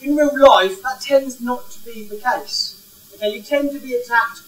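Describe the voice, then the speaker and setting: A man's voice: very high voice, measured pace. A man in his thirties, the head of a school, walks around without a mic, asking the audience questions and leading an icebreaker. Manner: energetic, walks around gesturing, asks the crowd questions. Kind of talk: interactive talk without a microphone. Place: large indoor hall. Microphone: no mic, far camera. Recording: roomy hall from a distance.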